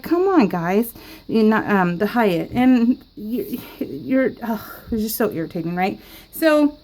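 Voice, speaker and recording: A woman's voice: medium pitch, a little mellow. A woman rambling through a story in casual chat, laughing often, recorded at home. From California. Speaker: scrapbooking vlogger. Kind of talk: casual storytelling while crafting.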